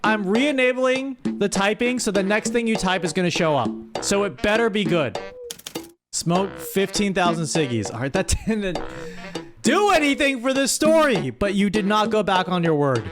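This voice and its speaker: A man's voice: sits high, animated, normal speed, music in the background. A man hosting a stream with casual comedic commentary, exasperated and playfully scolding as he reads out what viewers write. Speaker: streamer host.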